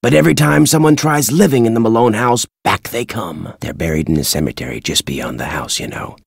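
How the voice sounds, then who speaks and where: A man playing a crotchety, folksy birdwatcher in a video game, speaking like a deliberate local storyteller with a husky voice. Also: fairly low pitch, animated, no echo, studio quality, heavily acted. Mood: hushed, ominous. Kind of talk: video game character dialogue.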